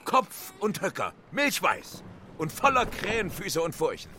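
Hearty, bright, animated sea captain. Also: male voice, high voice, a little slow, soft background music, full character voice. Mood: animated yarn-spinning. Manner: jovial, animated storytelling with salty turns of phrase. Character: hearty English sea captain, one-armed, vivid yarn-spinner. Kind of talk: audio drama acting.

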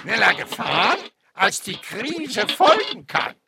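A woman's voice: medium pitch, big pitch swings, slow, vocal fry, heavy background noise, heavily acted. A woman playing a dry, sardonic space veteran in a satirical science fiction radio play. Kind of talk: stylised radio play speech.

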